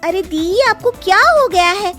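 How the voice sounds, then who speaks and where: A woman voicing a playful, excitable young woman, a mischievous little sister in an animated story. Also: very high voice, measured pace, faint music behind, voice pushed up, full character voice. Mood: playful, teasing. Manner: giggly, excitable, pleading when scared.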